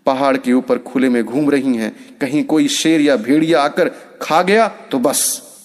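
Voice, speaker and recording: A man's voice: medium pitch, measured pace, close to an everyday voice. A man voicing a fussy old village granny with a fretful, grumbling manner in a storybook tale. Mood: grumbling, worried.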